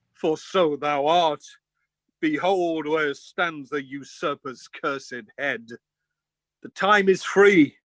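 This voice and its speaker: Righteous warrior nobleman , played by a man: higher male voice, very deliberate, declamatory radio drama verse. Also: pushed and bright. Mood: triumphant. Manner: emphatic, declamatory. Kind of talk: radio play Shakespeare acting. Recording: home recording over video call.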